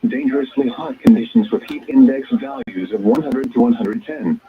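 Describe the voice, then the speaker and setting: A man's voice: fairly high pitch, wildly swooping pitch, normal speed, vocal fry, crowd noise. A man's synthetic, stilted voice reading scripted weather alerts formally as a radio announcer, in choppy phrases. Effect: weather radio broadcast. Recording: tinny, narrow-band weather radio signal.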